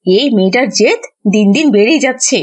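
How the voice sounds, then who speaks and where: Woman as a husky, exasperated village mother. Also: on the high side, animated, brisk. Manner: scolding, exasperated. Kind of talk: cartoon voice acting.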